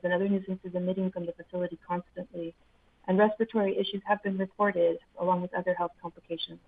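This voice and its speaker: A soft-spoken woman, a senator's community affairs director, reading an earnest prepared public comment by phone with frequent ums. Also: on the low side, gravelly. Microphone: phone call-in. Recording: tinny phone line.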